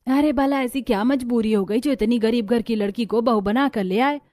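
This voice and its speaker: A woman's voice: a little high, moderate pace, mellow, dry recording, close to an everyday voice. A woman playing a gossiping, judgmental neighbour in a moral story. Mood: disapproving. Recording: music under the voice.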